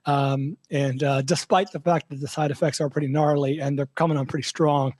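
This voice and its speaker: A man with a hoarse, raspy voice, a show regular giving a halting, filler-heavy health update in casual podcast chat. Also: fairly high pitch, steady tone, darkish tone, no echo. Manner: halting, many fillers and restarts.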